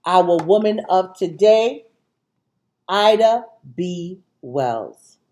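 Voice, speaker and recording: Slow-paced woman reading aloud to children. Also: fairly low pitch, animated, warm tone. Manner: deliberate, addresses young listeners directly. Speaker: read-aloud host. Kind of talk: children's picture book read-aloud.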